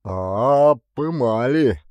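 A man voicing a boastful, excitable rustic coachman with a Ukrainian accent in an audiobook. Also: mid-range voice, very slow, no room sound, dull audio. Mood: excited, boastful. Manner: feverishly proud, folksy. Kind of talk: acted audiobook character.

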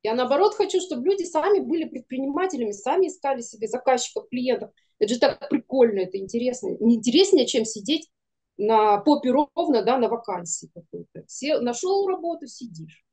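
Middle-aged woman, interview guest: fairly high, mellow voice, emphatic casual talk over video call. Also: expressive, brisk, breathy edge. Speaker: guest, animation studio producer. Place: small room indoors, on a video call.

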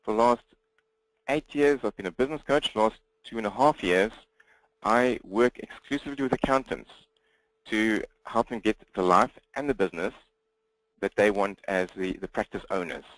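A South African man presents to an online audience at a rapid clip. His words run together slightly and he often restarts a phrase. The sound is dry and close, with no room around it. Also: lowish voice, no room sound, low-quality recording. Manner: fast, slightly mumbled delivery with frequent restarts. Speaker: guest presenter, business coach. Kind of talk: webinar presentation.

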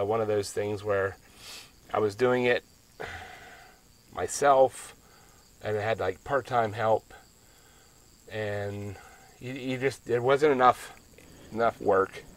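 A man's voice: lowish voice, creaky. A middle-aged man from Pennsylvania walking through his solar array outdoors, talking in a plainspoken, rambling way and correcting himself as he goes. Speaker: homestead solar vlogger. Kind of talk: casual walkthrough vlog. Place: outdoors by a ground-mounted solar array in a rural field.

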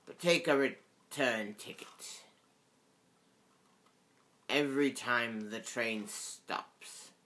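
A woman voicing a kindly, old-fashioned gentleman who speaks in a hushed, confiding way in a storybook reading. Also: very deep voice, very slow, long pauses, heavily acted.

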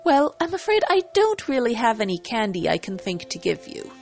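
Haughty cartoon unicorn lady , acted by a woman: high, refined, fussy and theatrical yet caring, storybook reading over music. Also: big pitch swings, moderate pace. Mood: condescending, softening. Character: dramatic, haughty, ladylike unicorn pony mare, fussy but caring.

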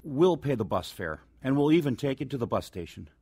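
An actress playing a kindly, concerned church friend in a radio drama, speaking sympathetically. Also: female voice, very deep voice, big pitch swings, fairly quick, full character voice.

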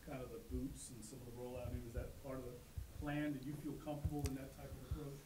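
A man's voice: medium pitch, steady tone, fast talker. A male reporter asking questions at a press conference, stopping and rephrasing as he goes. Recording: roomy, noisy press-room audio.

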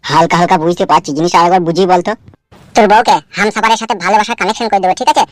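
A man's voice: sits high, rapid-fire, dry recording, close to an everyday voice. A man voicing a scheming, coarse-talking, nervous village crook in a comedy cartoon. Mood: conspiratorial. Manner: coarse slangy back-and-forth.

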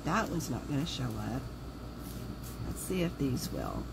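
A woman's voice: rumbling low, huge pitch swings, measured pace, gravelly, background noise. A woman with a sore throat, chatting casually while she works on a craft project at home and thinking aloud as she goes. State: sore throat, voice lower than usual. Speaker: craft video host. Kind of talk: casual crafting livestream chat. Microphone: overhead camera on the table, hands only in frame.

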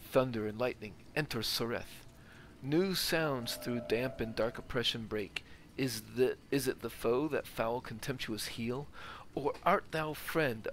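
A man's voice: mid-range voice, a bit bright, a little hiss or hum, rough recording. A man hosting a gaming video, giving playthrough commentary and reading in-game text aloud. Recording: faint game ambience behind.

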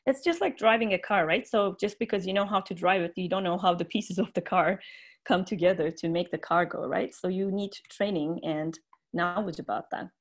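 A woman's voice: fairly low pitch, fairly quick. A woman in her thirties whose first language is Turkish, giving an earnest online conference talk with frequent fillers over a webcam. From Turkey. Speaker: teacher trainer giving a conference plenary. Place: indoor room, webcam video call.

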